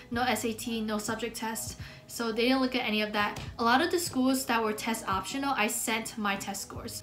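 A woman's voice: a little high, fairly bright tone. Chatty eighteen-year-old woman, light voice. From United States. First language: Mandarin. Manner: chatty, upbeat, many "like" fillers. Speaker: student vlogger. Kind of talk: casual talk to camera. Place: small bedroom, indoors.

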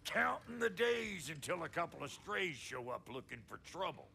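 A man playing a cranky, blunt dwarf blacksmith in a video game, gravelly and sardonic. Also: on the high side, big pitch swings, moderate pace, bright, forceful tone, full character voice. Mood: scornful. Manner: folksy, sardonic.

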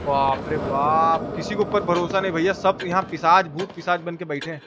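Male live-stream gamer, Bihari-style Hindi accent, high pitch, colloquial and full of exclamations, noisy recording. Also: normal speed, highs cut off. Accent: eastern Hindi belt, Bihari-style. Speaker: game streamer. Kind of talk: live gameplay commentary, casual chat.

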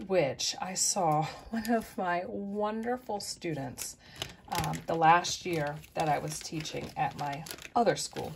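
A middle-aged woman chatting easily through her thrift and flea market finds, with soft asides. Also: fairly low pitch, vocal fry, slight background noise. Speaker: vlogger showing thrift finds. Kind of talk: casual show-and-tell narration. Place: indoors at a table, close-up of hands.

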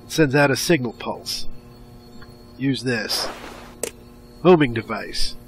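An actor in an audio drama playing a hard, authoritative government official who briefs in clipped, short sentences. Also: male voice, mid-range voice, slow, soft background music, natural voice. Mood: brisk, businesslike.

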